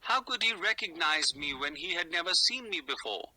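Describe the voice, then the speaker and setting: A woman's voice: lowish voice, expressive, normal speed, bright, forceful tone, husky, exaggerated voice, poor audio. A woman voicing a timid little prince in a storybook, a polite and curious young boy speaking earnestly. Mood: embarrassed, timid. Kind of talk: storybook character voice.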